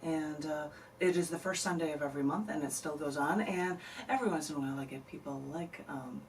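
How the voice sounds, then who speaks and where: A woman's voice: deep voice, brisk. A chatty woman poet talks through a radio interview in loose, rambling sentences with frequent laughs. She sits a bit back from the studio mic, and a faint hiss runs underneath. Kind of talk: casual radio interview chat. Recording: distant mic, faint noise.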